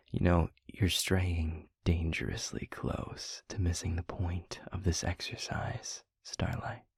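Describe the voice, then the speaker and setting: A man playing a tender, weary demon lover in an intimate audio roleplay, speaking softly and deliberately in a hushed, breathy, gravelly voice close to the microphone. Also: sits low, no room sound, full character voice. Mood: teasing, amused. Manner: slow, deliberate, soft, wordy romantic phrasing. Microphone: close microphone, dry.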